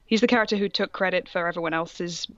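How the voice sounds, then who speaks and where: A woman's voice: lowish voice, steady tone, fast talker, fairly bright tone, no echo, muffled recording. A woman co-hosting a comedy show, casually reading fan fiction aloud and commenting on it. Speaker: co-host reading the female parts.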